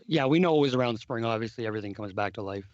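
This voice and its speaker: Hoarse man, dull lo-fi sound. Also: medium pitch, very fast, darkish tone, no room sound. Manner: repeats words and restarts often. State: hoarse, lost his voice. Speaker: podcast host. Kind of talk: casual discussion of biblical calendar history.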